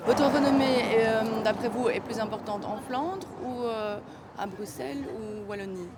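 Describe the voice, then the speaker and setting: A woman asking polite, halting interview questions in a courteous, hesitant way. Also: a little high. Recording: very loud urban background noise. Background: loud ambient noise.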